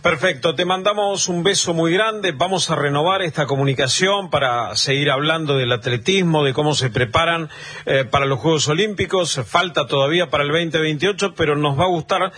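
Raspy, fairly high-voiced Argentine man hosting a radio interview. He speaks in a measured way, filling his pauses with eh. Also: fairly bright tone. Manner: measured, uses eh fillers. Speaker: radio host.

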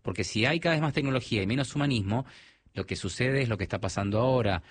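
A man's voice: mid-range voice, fast talker, husky, dry recording. Argentine man explaining, dry sound. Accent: mild Argentine. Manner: explanatory, with frequent restarts. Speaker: technology guest. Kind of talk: radio interview.

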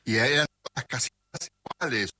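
A man's voice: medium pitch, moderate pace, breathy edge. A man with a Rioplatense accent hosting a radio chat, reminiscing fondly in casual speech. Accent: Rioplatense Spanish. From Argentina. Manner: warm reminiscing, voseo and che.

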